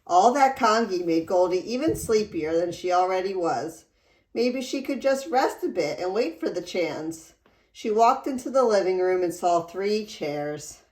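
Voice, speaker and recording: A woman reading a children's storybook aloud in an expressive, deliberate storytelling manner. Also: medium pitch. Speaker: read-aloud narrator. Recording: roomy echo.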